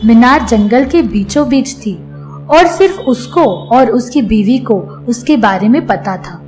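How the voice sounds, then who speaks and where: A woman narrating a scripted children's fairy tale in a measured storytelling manner. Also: a little high, expressive, a little mellow, faint music behind.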